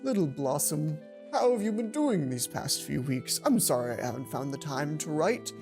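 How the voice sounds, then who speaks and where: A woman's voice: low voice, very animated, moderate pace, music in the background, pitched below the performer's own voice. A woman voicing a loving, weary, lonely father in a visual novel, reading his letter tenderly. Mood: tender, wistful.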